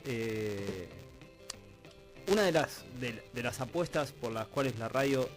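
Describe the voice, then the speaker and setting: A man's voice: mid-range voice, expressive, slow. Male radio discussion voice, middle register, conversational, faint music underneath.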